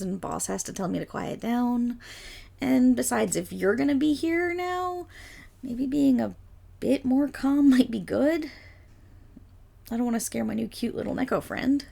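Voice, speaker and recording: A woman playing an excitable, affectionate, dim-witted young dog girl in a roleplay audio drama, bubbly, naive and eager. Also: on the high side, a little slow, creaky, exaggerated voice. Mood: cheerful, eager.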